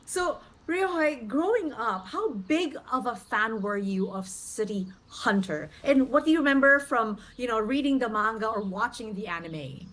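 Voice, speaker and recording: Lively friendly woman interviewer. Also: a little high, moderate pace, few pauses, rough recording. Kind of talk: interview questions.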